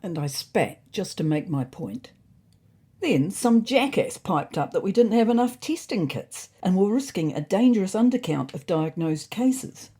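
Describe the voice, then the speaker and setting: A woman narrates a comic first-person audio story with a very animated delivery. Her mid-pitched voice is mellow and husky, and she tells it expressively, in indignant character. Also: unhurried.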